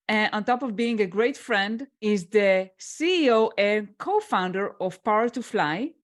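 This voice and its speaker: A woman who hosts a podcast, introducing and thanking her guest graciously and asking her questions. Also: a little high. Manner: gracious, thankful, lavish praise. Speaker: podcast host. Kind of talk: podcast hosting and interviewing.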